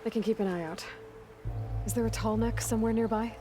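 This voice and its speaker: A woman's voice: a little high, steady tone, brisk, mellow, faint music behind, natural voice. A young woman playing a determined, guarded hunter heroine in a video game. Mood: guarded, focused.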